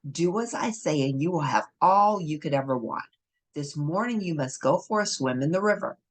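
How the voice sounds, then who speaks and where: A woman's voice: sits low, animated, moderate pace. A woman voicing a clever, bold male cat in boots in a read-aloud story, speaking in an eager, persuasive manner. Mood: urging.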